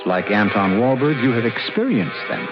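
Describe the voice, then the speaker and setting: A low, ominous radio mystery host. He narrates with halting drama over loud music, on a muffled vintage broadcast. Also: expressive. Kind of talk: old-time radio horror narration.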